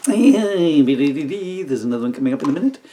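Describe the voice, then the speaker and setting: A man's voice: a little high, sing-song, normal speed, dark tone. A middle-aged man reading a comic story aloud with chatty asides, jokey and easily tickled, stopping to interrupt himself. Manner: giggly, jokey, self-interrupting. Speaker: author reading own comedy book aloud. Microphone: camera at some distance. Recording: roomy echo, distant microphone.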